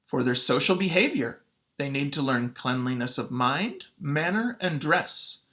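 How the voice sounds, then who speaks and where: A man's voice: medium pitch, expressive, a little slow, sharp tone. A man voicing a smug, prejudiced 1940s school superintendent giving assertive testimony in a storybook read-aloud. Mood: smug, disdainful.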